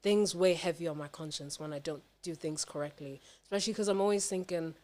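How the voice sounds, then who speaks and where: A woman's voice: sits low, fairly quick, a little mellow. A young woman talking casually and candidly as a podcast guest, emphatic, slangy and sweary. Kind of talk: casual personal chat.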